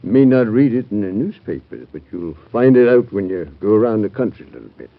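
An American man playing a blunt, folksy, fatherly former president in an old-time radio drama, with a gravelly voice. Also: on the low side, lively intonation, fast. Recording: tinny old radio show recording.

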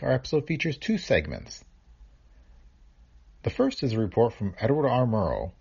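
A man's voice: on the low side, vocal fry, darkish tone, breathy edge, highs cut off. A man reading a scripted podcast introduction as the host.

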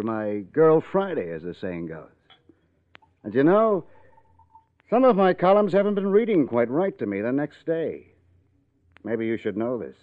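A man's voice: medium pitch, natural voice. A male actor playing a cynical, hard-drinking columnist in an American radio drama, with a wry, needling, theatrical delivery. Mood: firm, irritated. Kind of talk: old-time radio drama acting. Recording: vintage radio, narrow phone-like band.

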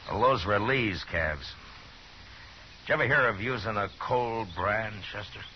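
An actor playing a steady, shrewd frontier lawman in a 1950s radio Western, measured and matter-of-fact. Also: on the low side, a little hiss or hum, natural voice. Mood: explaining. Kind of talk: 1950s radio drama acting with narration. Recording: dull vintage 1950s radio recording with music.

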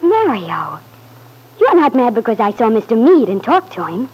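An actress playing a sweet, anxious young wife, eager and placating, in an old-time radio soap opera. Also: female voice, fairly high pitch, very animated, normal speed, darkish tone, slight background noise, rough recording. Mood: pleading, hurt.

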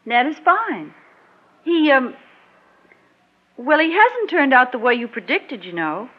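An old, muffled radio drama recording of a genteel small-town woman. Her high voice swings up and down as she speaks in a flustered, fluttery, halting way. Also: big pitch swings, heavily acted. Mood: nervous, chatty. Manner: fluttery, hesitant. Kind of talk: 1960s radio drama acting.

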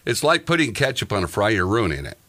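Male podcast host, bright and animated, rambling comic chatter. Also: on the low side, quick pace. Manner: joking, rambling, tells exaggerated everyday anecdotes. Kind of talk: casual comic chat.

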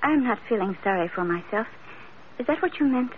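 Composed young bride in distress played by a woman, highish voice, formal careful phrasing, thin vintage radio recording. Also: expressive, fairly quick, a little hiss or hum, natural voice. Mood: guarded, sad. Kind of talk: 1940s-50s radio drama acting. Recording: tinny, narrow-band old radio recording.

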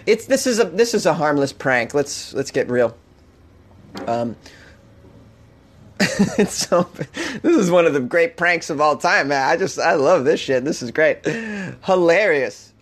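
A man's voice: a little high, animated, unhurried, pauses often. Boston man hosting a joking podcast. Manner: rambling, joking, irreverent, laughs often. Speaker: podcast host. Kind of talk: comedic weird-news podcast monologue.

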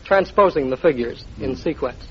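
A man's voice: mid-range voice, animated, normal speed. A man answering quiz show questions in a precise, matter-of-fact way. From New York. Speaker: contestant, accountant. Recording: dull old radio recording, faint noise, audience. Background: studio audience.

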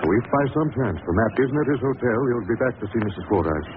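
An actor playing a confident gentleman sleuth in an old radio drama, with urgent, clipped, assured delivery. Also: medium pitch, animated, fast, close to an everyday voice. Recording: very noisy, phone-like vintage radio recording.

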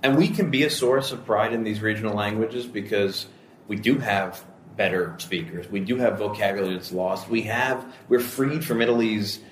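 Low-voiced man, halting, echoey room. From United States. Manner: halting, repeats words while thinking. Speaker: podcast co-host. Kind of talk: casual group chat. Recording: roomy echo, faint room noise.

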